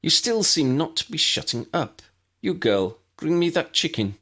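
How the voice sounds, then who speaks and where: A man's voice: on the high side, sing-song, moderate pace, pushed and bright, slightly rough, pitched above the performer's own voice, heavily acted. A man voicing an imperious, scornful young witch villain in a fantasy audiobook, snappish and sneering. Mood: impatient, commanding. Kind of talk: fan-fiction audiobook character voice.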